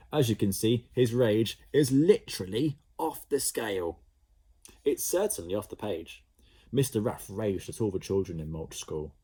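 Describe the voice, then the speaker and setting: A male teacher reading a storybook aloud to young pupils, telling it in a lively, expressive way. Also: on the low side, slightly rough.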